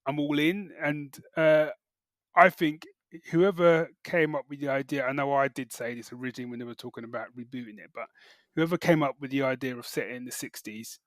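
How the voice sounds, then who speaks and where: A middle-aged British man chatting casually as a podcast co-host, halting and correcting himself as he goes. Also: medium pitch, steady tone, dry recording. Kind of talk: casual chat, geek reviews.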